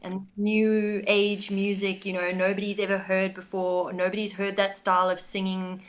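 A woman singer in a band with a high voice, talking quickly and eagerly in a casual interview. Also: monotone.

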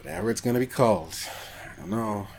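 A man co-hosting a podcast in casual chat about films, talking in a jokey, slangy, animated way. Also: lowish voice, fast, creaky, slight background noise. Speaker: podcast co-host.

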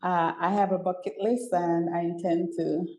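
Earnest Jamaican-born woman, mellow voice. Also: fairly low pitch, little pitch movement, moderate pace, roomy sound. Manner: earnest, repeats herself, fillers like "you know". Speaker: guest, women's mentor. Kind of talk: conversational interview.

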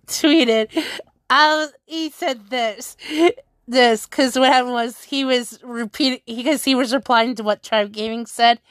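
A woman's voice: a little high, slow, a bit bright, no echo, clean studio sound. A woman chatting casually to her viewers, rambling and correcting herself as she goes.

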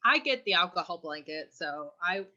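Throat-clearing chatty young woman, muffled. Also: lowish voice, animated, moderate pace, bright, forceful tone. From California. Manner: chatty, slangy, swears freely. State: keeps clearing her throat. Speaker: podcast co-host. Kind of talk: casual chat. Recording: muffled lo-fi recording.

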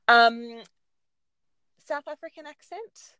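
A woman's voice: fairly high pitch, slow pace, clear, resonant tone, dry recording. A woman in her thirties with an Australian accent, chatting casually and telling stories with lots of ums. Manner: chatty, animated storytelling with frequent ums. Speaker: guest. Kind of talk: casual chat.

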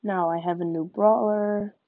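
Slow-talking young woman with a cold. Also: medium pitch, fairly even intonation, no room sound, phone-quality sound. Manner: chatty, narrates each move. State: nasal from a cold. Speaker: gaming podcaster. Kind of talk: live gameplay commentary.

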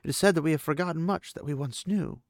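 A man's voice: on the high side, fast talker, no echo, studio quality, voice pushed up, lightly acted. A man voicing a proud, formal young female desert warrior with solemn, stiffly formal speech. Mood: earnest.

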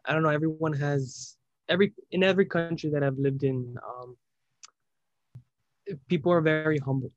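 A man's voice: on the high side, dry recording. A young man from Spain, a startup founder speaking as an interview guest, hesitant and reflective, often restarting his phrases. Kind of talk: casual interview talk. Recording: dull lo-fi sound.

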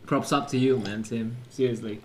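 A laid-back young male host from China chats in an easy, middle-pitched voice, full of fillers and friendly encouragement. A faint hiss sits under the recording. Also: steady tone, normal speed. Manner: laid-back, filler-heavy, chuckles often. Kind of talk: casual video chat.

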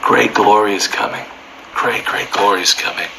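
A slightly hoarse man teaching from the Bible informally, fervent and building to near-shouting emphasis. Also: lowish voice, moderate pace, slight background noise, low-quality recording.

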